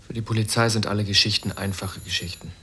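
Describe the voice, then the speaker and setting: A man's voice: on the low side, flat delivery, quick pace, pushed and bright, husky, exaggerated voice. An actor in a radio drama playing a bitter, ironic man who challenges authority in a pointed, sardonic way. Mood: bitter, accusing. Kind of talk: German radio drama acting.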